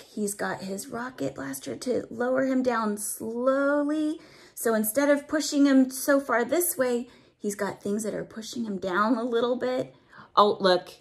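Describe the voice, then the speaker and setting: A woman with a slightly high voice reads a picture book to small children. She takes her time, drawing out rhyming lines with expression. Between them she explains things in simple, teacherly words and often puts questions to the listener. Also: breathy edge. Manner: drawn-out, expressive reading of the story's rhymes. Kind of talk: animated read-aloud with explanatory asides for young children.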